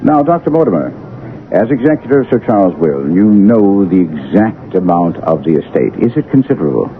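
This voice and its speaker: Radio detective: deep, animated, precise, coolly authoritative gentleman. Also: a little fast. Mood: commanding. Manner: precise, formal, commanding. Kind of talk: radio drama acting. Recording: tinny old radio recording, faint noise.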